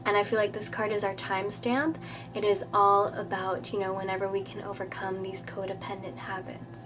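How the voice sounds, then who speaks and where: A woman with a slightly stuffy, nasal voice gives a relaxed tarot reading straight to her viewers. Her pitch sits right in the middle, and she chats warmly and reassuringly, tossing in "okay?" and "you know" as she goes. Clear music plays under her. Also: normal speed. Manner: chatty, reassuring, many fillers and "okay?". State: nasal from a cold. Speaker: tarot reader. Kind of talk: casual tarot reading to camera.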